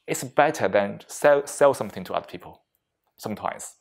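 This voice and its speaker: Low-voiced man teaching mindfulness in short phrases. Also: animated, creaky. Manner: deliberate, short phrases with frequent pauses; non-native English phrasing with grammatical slips. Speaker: meditation teacher giving a workplace session. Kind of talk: slow, reflective mindfulness talk to a group.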